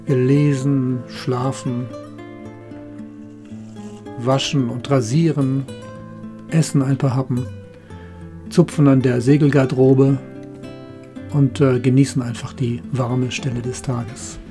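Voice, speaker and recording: A man's voice: mid-range voice, little pitch movement, slow, long pauses. A middle-aged man narrating a sailing trip as its skipper, in a style that moves between scripted storytelling and spontaneous recollection. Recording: music behind the voice. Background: music.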